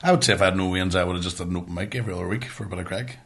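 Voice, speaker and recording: A man's voice: low-pitched, rapid-fire, fairly bright tone. A middle-aged man with a Northern Irish accent co-hosting a podcast in casual, blunt, sweary chat. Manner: sweary, blunt, ranting about everyday life. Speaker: podcast co-host.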